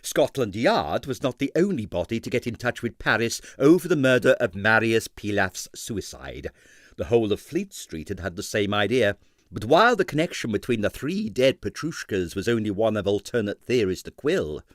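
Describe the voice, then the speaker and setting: A man narrating a comic detective novel as an audiobook reader, in a measured, dramatic storytelling delivery with emphatic phrasing. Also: on the low side, fairly bright tone, no echo, clean studio sound.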